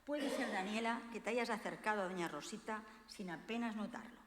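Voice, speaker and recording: A woman with a mid-pitched voice presents in a formal, eloquent way, as if from a prepared text. The hall is very echoey, with long reverb trailing her literary introduction. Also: fairly quick, slight background noise. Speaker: presenter of a book launch. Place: hall of a cultural institution.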